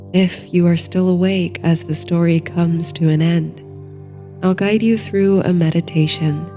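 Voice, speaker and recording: Slow, hushed-toned woman narrating a sleep story. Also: on the low side. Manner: gentle, unhurried, measured. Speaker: host, sleep story narrator and meditation guide. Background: soft background music.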